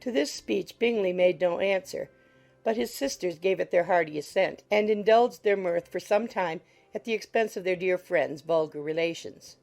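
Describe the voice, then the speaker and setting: A woman's voice: fairly low pitch, moderate pace, vocal fry, a little mellow. A woman reading scripted literary narration as an audiobook narrator. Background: music.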